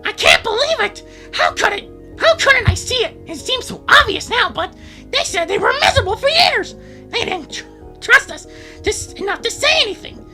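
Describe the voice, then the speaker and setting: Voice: very high voice, big pitch swings, normal speed, pushed and bright, faint music behind, pitched above the performer's own voice, full character voice. A performer voicing a distressed young cartoon girl in a fan dub, strained and exclaiming. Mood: upset, disbelieving.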